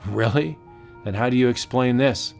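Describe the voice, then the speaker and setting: A man's voice: medium pitch, normal speed, gravelly, a little mellow, soft background music, lightly acted. Man acting a grave, obsessed anthropologist. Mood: earnest. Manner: earnest, solemn, dramatic. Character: grave, obsessed male anthropologist who believes in magic. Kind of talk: comic book audio drama acting.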